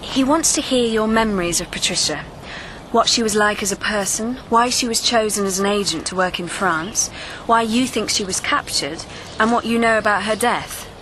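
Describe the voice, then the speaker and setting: An actress playing a young British television researcher in a radio drama, precise, matter-of-fact and inquisitive. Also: female voice, medium pitch, moderate pace, fairly bright tone, lightly acted, low-quality recording. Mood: businesslike. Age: young adult. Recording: clear background noise.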